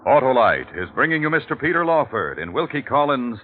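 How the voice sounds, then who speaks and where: A man's voice: mid-range voice, very animated, brisk, background noise. A man announcing a program in 1950s radio style. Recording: tinny old radio recording over music.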